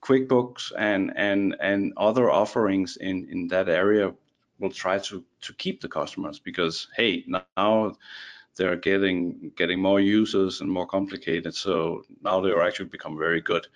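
A man's voice: sits low, fairly even intonation, moderate pace. A man from Denmark with a Danish accent, a guest on a casual podcast chat, who restarts and repeats words often.

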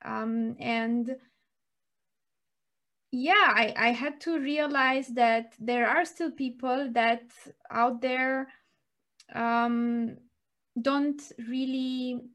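Slow, hesitant woman co-host. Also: on the high side, fairly even intonation, a bit bright. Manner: hesitant, many ums and uhs. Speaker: podcast co-host. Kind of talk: conversational podcast discussion.

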